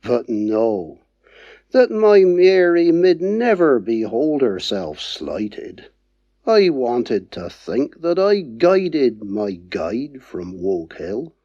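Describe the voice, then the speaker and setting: A man with a Dorset accent reciting dialect poetry in a measured, mournful way. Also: medium pitch, very animated, no echo, dull audio.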